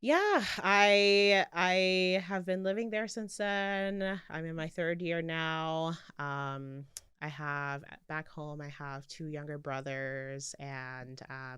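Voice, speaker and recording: A young woman from Trinidad speaks in a low, crisp, very clear voice with a bright edge. She chats casually as a podcast guest, laughing easily and filling pauses with "like" and "um." The sound is dry and close. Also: no echo. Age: 20s. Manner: giggly, laughs often, many fillers. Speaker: podcast guest, medical student. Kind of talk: casual chat.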